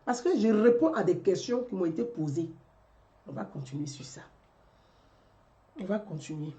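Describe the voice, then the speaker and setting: A woman's voice: fairly low pitch, expressive, moderate pace, mellow. A woman, delivering a rhetorical political commentary and repeating her questions. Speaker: commentary vlogger.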